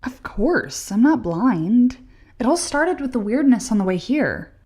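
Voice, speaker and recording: A high-pitched young woman in an audio drama. She is an earnest, sceptical science student, unsettled but precise, putting real emphasis on her words. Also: sing-song, a little slow, a little mellow, breathy edge, full character voice. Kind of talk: audio play acting.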